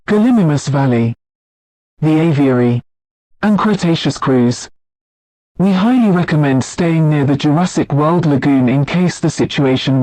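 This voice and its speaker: A man plays a theme park emergency announcer with a slightly high male voice. He reads scripted alerts in a formal, measured, impersonal way, recorded very dry with no room sound. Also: darkish tone, no echo, low-quality recording.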